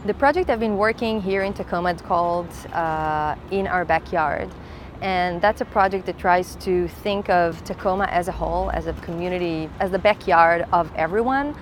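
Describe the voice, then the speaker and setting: Woman with a lowish voice explaining her project. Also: moderate pace, slight background noise. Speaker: artist in residence. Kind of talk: explanatory interview talk.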